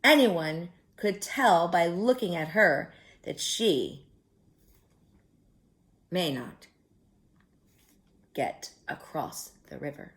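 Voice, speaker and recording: A woman reading a story aloud to young children like a teacher, expressively, pausing to add simple explanatory comments. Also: on the low side, expressive.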